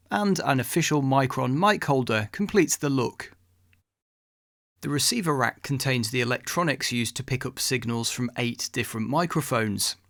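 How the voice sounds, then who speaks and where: A man narrates a scripted voice-over in a mid-pitched voice with plenty of creak. The sound is close and very dry, with no room around it. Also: a bit bright, dry recording.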